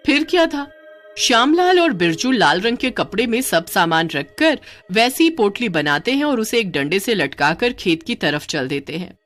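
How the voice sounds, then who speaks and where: A woman telling a scripted story as narrator, in an expressive storytelling style. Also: mid-range voice, normal speed, faint music behind.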